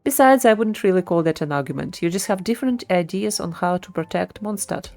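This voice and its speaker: A woman's voice: on the low side, lively intonation, fairly quick, a little mellow. A woman streamer giving casual live commentary on a video game, musing aloud. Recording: game music behind the voice. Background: video game music.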